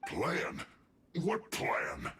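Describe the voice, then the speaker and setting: A man's voice: sits low, wildly swooping pitch, extremely slow, bright, forceful tone, a little hiss or hum, exaggerated voice. A man voicing a defensive, grumbling soldier caught by his foes in a video game. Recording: roomy echo, faint music.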